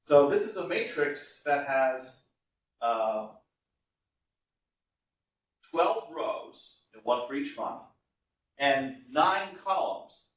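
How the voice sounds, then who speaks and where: A man's voice of middling pitch rings heavily around a classroom, picked up some way from the microphone. He lectures like a university instructor, informal and conversational. He explains things one step at a time. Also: lively intonation, extremely slow, long pauses. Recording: echoey room, voice far from mic.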